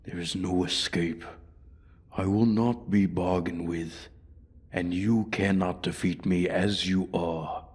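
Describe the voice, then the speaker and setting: A man voicing an ancient, arrogant dragon in a fantasy ASMR roleplay, haughty and deliberate, with a breathy voice that often drops to a whisper. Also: sits low, steady tone, full character voice. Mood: taunting. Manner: haughty, deliberate, coldly threatening. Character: ancient, menacing, arrogant male dragon guarding his hoard. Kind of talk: slow, theatrical fantasy ASMR roleplay monologue.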